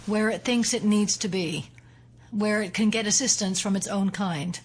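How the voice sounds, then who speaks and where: An actress playing a resolute, analytical female FBI agent in an audio drama, explaining things seriously and deliberately. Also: medium pitch, husky, lightly acted. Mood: grave, analytical.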